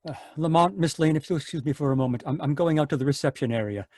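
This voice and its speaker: A man's voice: a little high, fast talker, a little mellow, dry recording, studio quality, lightly acted. A man acting a polite, flustered and fearful sanitarium doctor in an old-time radio drama.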